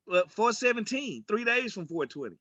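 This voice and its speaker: A man's voice: sits high, big pitch swings, normal speed, sharp tone, no room sound, muffled recording. A man in his forties co-hosting a sports podcast with casual, colloquial, joking banter and strong opinions. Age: 40s.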